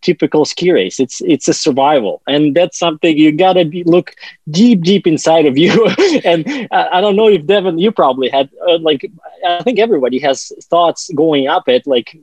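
Laughing, slightly high-voiced man in his forties. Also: a little mellow, no echo. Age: 40s. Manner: rambling, laughs often, halting restarts. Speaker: guest, former ski racer. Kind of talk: casual podcast chat.

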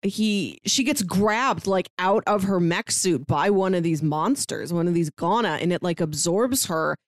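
A woman's voice: fairly low pitch, lively intonation, unhurried, no echo, clean studio sound. A woman co-hosting a podcast, talking casually through a review and sometimes laughing lightly as she speaks. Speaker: podcast co-host. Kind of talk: casual conversational book review.

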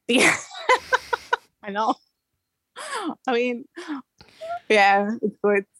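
A woman with a Belgian accent speaks as a guest in a relaxed interview. Her talk is informal and full of surf slang, told in a conversational storytelling way. The sound is dry, and her pitch stays within a fairly narrow range. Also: extremely slow, no echo. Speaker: podcast guest, amateur big wave surfer.

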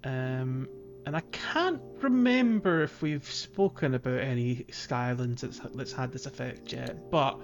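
A man's voice: medium pitch, a little slow. A man co-hosting a podcast, talking casually as he guides listeners through a video game. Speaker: podcast co-host. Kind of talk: casual chat, guiding a game playthrough. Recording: slightly dull lo-fi sound, music behind. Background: background music.

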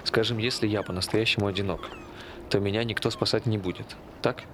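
Male actor as sardonic needling friend, lowish voice, laconic casual delivery, naturalistic stage drama, noticeable background noise. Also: steady tone, a little fast, a bit bright, natural voice. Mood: curious, provoking. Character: dry, sardonic, provoking friend of a troubled man. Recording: clear ambient background noise.

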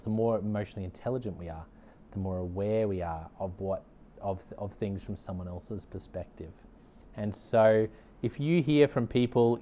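Aussie guy, fairly low voice, earnest upbeat coach-type talk, says things twice to hammer them home. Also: darkish tone. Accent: Australian. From Australia. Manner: earnest, upbeat, repeats key lines for emphasis. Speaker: leadership consultant and podcast host. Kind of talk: direct-to-camera motivational talk.

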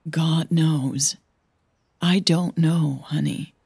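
A woman voicing a gentle, guarded female coach in an audio drama, speaking carefully and soothingly. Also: deep voice, steady tone, very slow, vocal fry, husky, no echo, studio quality, heavily acted. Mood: apologetic.

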